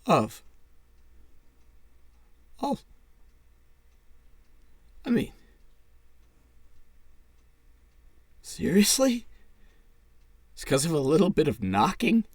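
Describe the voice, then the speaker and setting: A man's voice: fairly high pitch, huge pitch swings, takes it slowly, long pauses, husky, dry recording, exaggerated voice. A man acting in an audio drama as a defensive, floundering partner at his wits' end, stammering and repeating himself. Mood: hesitant, worried. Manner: stammering, repeating words, swearing.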